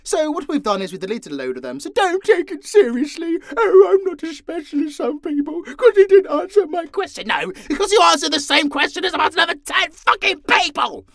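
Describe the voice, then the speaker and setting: A man's voice: extremely high pitch, big pitch swings, a little fast, creaky, few pauses, fairly bright tone, no echo, pitched above the performer's own voice, heavily acted. A man voicing an irritable, theatrical radio-host character with a British accent, scolding sarcastically and haughtily. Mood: scornful. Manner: indignant, haughty, emphatic scolding. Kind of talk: comic in-character audio roleplay.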